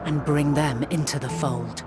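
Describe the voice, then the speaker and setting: An actress playing a wise, resolute leader in a game, speaking in measured, solemn, formal archaic phrases. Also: female voice, low voice, steady tone, over loud music. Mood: grave, resolute. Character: wise, resolute ancient woman leader, grave yet hopeful. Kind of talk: dramatic scripted game acting.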